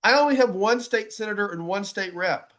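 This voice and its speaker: A man's voice: high-pitched, brisk, fairly bright tone. A man giving a motivational advocacy talk, emphatic and folksy, often repeating phrases for weight. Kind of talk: motivational presentation to a group.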